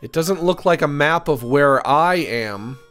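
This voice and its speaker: A man's voice: on the high side, lively intonation, a little slow, a bit bright, smooth, soft background music. A man giving casual live commentary on a video game and reading its text aloud, playfully whiny when let down.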